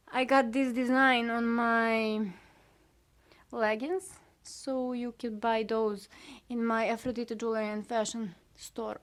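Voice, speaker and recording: Young woman, Serbian accent, non-native English speaker; relaxed, halting talk with a slightly high voice. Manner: hesitant, many fillers, self-critical asides. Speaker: artist showing her sketchbook. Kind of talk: casual sketchbook tour chat.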